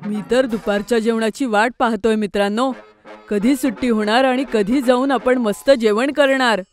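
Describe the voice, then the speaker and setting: A lively young schoolboy voiced by a woman in a children's cartoon. He sounds eager and inquisitive, pitched a little high, with music in the background. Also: moderate pace, natural voice. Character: lively, food-keen young schoolboy, big brother.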